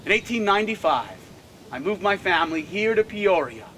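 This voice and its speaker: A man's voice: high-pitched, sing-song, normal speed, a bit bright, slight background noise, low-quality recording. A man playing a proud old park designer. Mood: proud, earnest. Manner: earnest, emphatic, addresses the crowd directly. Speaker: costumed tour guide, historical reenactor. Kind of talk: first-person living-history storytelling. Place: outdoors by a prairie on a walking tour.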